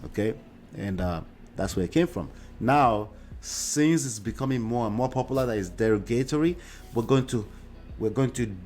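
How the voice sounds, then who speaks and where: A fairly low-voiced man with a Nigerian accent, Yoruba-speaking by birth, hosting a casual livestream. He chats loosely, with plenty of "uh" and "right?" tags, and soft music plays faintly behind him. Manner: conversational, with frequent "uh" and tag questions like "right?". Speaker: show host. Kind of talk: livestream commentary reading viewer comments.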